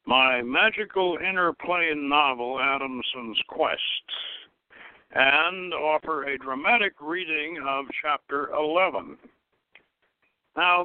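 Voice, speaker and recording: A man hosting a talk radio show in a measured, sometimes halting way, introducing and reading from his notes. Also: fairly high pitch, no echo. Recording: tinny phone-like line, dry.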